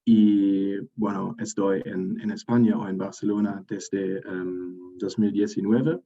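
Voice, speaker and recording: Deep gravelly young man, hesitant. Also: steady tone, takes it slowly, warm tone, lo-fi sound. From Germany. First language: German. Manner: hesitant, many fillers and restarts. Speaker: interview guest. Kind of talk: casual interview.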